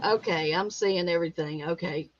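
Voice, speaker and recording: A woman's voice: lowish voice, moderate pace, slight background noise. A woman hosting a casual livestream chat, folksy in the way she addresses her viewers. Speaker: livestream host. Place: indoors at a computer. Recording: muffled, dull livestream audio.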